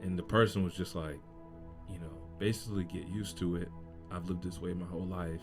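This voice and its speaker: A young man co-hosting a faith podcast, talking in a laid-back, soft-spoken way and laughing easily. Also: low voice, fairly even intonation, fairly quick, music in the background. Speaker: co-host. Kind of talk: casual faith podcast chat.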